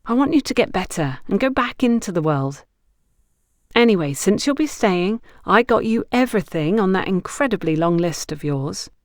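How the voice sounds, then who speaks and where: A woman narrator voices a composed, reassuring female character in an audiobook drama. She is earnest and firm, with measured phrasing and a widely moving pitch. The sound is dry and close. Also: lively intonation, dry recording, natural voice.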